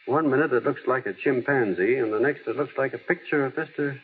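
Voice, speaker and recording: A man's voice: mid-range voice, fairly quick, natural voice. An actor playing an earnest, plainspoken small-town American man in old radio drama style, folksy and a little hesitant. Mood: matter-of-fact. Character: earnest small-town everyman, plainspoken, gently wry, heir to a fortune. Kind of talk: 1930s-40s American radio drama acting. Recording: tinny, hissy old radio broadcast.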